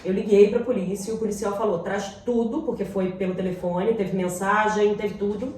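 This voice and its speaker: A woman's voice: medium pitch, fairly even intonation, normal speed, a little mellow. Brazilian woman, very echoey, distant mic. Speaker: talk show co-host. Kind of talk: casual conversation, opinion and anecdotes.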